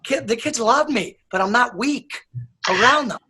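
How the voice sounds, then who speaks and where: A man speaking as an interview guest, calm and earnest, laying down his points firmly. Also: very high voice, moderate pace, slightly rough. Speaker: guest, author and educator. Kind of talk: casual interview chat.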